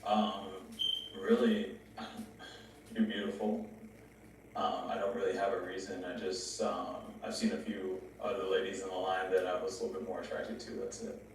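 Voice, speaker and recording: A man's voice: deep voice, flat delivery, moderate pace. A young man answering casually as a dating show contestant, hesitant and polite in manner. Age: young adult. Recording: echoey, distant, faint noise.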